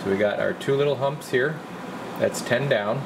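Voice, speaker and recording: A man working as a repair technician gives a casual hands-on walkthrough, halting and thinking aloud as he goes. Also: medium pitch, vocal fry, background noise. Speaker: radio repair technician presenting his work. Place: indoor electronics workbench.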